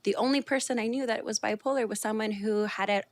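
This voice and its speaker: A woman's voice: a little high, fairly even intonation, quick pace. A Canadian woman in her thirties, a podcast guest talking openly and earnestly, with lots of "you know" fillers. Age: 30s. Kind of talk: candid conversational interview.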